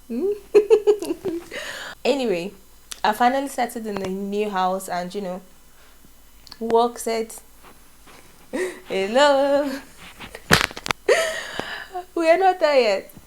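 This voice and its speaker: A woman's voice: mid-range voice, a little hiss or hum. A young adult woman with a Nigerian accent, whose first language is not English, chatting casually in a vlog, laughing often and wandering into asides. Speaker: vlogger. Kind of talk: casual get-to-know-me vlog.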